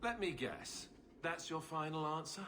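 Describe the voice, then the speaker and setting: A man's voice: on the high side, very animated, a little slow, loud music behind the voice. An actor playing an affable, self-deprecating king in a video game, with a wry, informal manner. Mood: wry, awkward. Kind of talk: video game cutscene acting.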